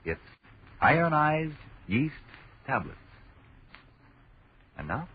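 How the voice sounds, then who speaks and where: An American man announcing in a theatrical, deliberate 1940s radio style. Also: fairly high pitch, sing-song, slight background noise. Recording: muffled vintage radio recording with music. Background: music.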